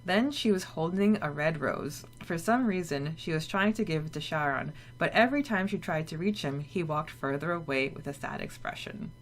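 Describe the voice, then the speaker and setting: A woman co-hosting a casual podcast, chatting and recapping a story with a relaxed, talky manner. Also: low-pitched, normal speed, few pauses. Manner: chatty, recaps with many "like" fillers. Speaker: podcast co-host. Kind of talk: casual chat.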